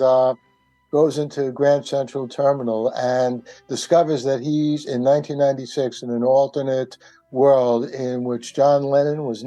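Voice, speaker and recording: A man's voice: medium pitch, mellow, rough recording. Chatty older man, a radio panel guest. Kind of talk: casual discussion.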